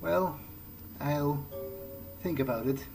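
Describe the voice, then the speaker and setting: Unhurried, musing male gamer over music. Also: fairly high pitch, vocal fry, rough recording. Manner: hesitant, musing, conversational. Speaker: let's play host. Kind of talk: in-character game playthrough commentary. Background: game music.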